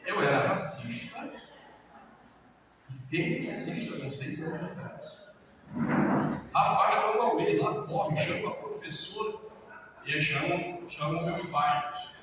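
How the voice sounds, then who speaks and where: Animated storytelling pastor in a big echoing hall. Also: male voice, a little high, measured pace, long pauses. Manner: lively storyteller, acts out quotes, laughs. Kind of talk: sermon-style talk with personal stories. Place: large church hall. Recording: roomy church hall, distant mic, background noise.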